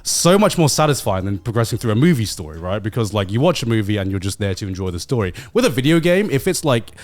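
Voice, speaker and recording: A man's voice: fairly low pitch, very animated, fast. A man co-hosting a podcast, explaining his view earnestly in casual chat. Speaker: podcast co-host.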